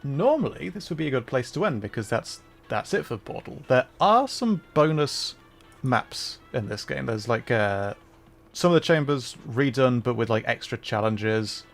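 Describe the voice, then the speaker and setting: A man giving casual livestream commentary as he plays a video game, his voice slightly gravelly. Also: medium pitch, big pitch swings, normal speed, soft background music.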